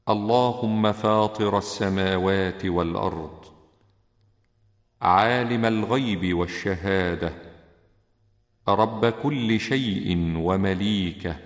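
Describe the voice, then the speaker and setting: A man reading hadith and supplications in classical Arabic, solemn and deliberate, with drawn-out phrase endings. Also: fairly low pitch. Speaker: religious reciter. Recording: echoey with long reverb.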